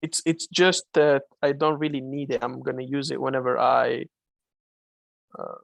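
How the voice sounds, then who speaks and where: A man's voice: mid-range voice, flat delivery, normal speed, creaky, no echo. A man with a Malaysian accent, answering viewers' questions about trading in a casual, chatty way full of fillers and restarts. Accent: Malaysian English. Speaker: trading mentor. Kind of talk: live-streamed trading Q&A.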